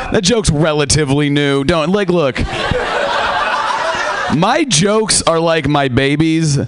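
A man's voice: mid-range voice, background noise. A young man from Seattle performing a stand-up set on a club stage, sardonic and laid-back, trailing off into filler words. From Seattle, USA. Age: young adult. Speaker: stand-up comedian. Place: comedy club stage, live audience.

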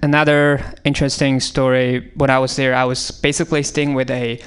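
A man with a Chinese accent riffs on comedy prompts in a radio studio. His voice is clean and smooth, at an ordinary middle pitch. He rambles, restarts his sentences and leans on fillers. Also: flat delivery, a little fast. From China. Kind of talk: improvised comedy on audience prompts.

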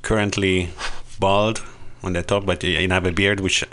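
A man in his late thirties, a radio guest, describing himself hesitantly with many pauses. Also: low-pitched, little pitch movement, fairly bright tone. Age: 30s. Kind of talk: casual radio discussion.

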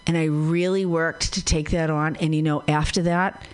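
A woman's voice: low voice, fairly even intonation, moderate pace, smooth. A woman chatting casually on a radio show, telling personal stories in a frank, feisty way. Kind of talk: casual chat.

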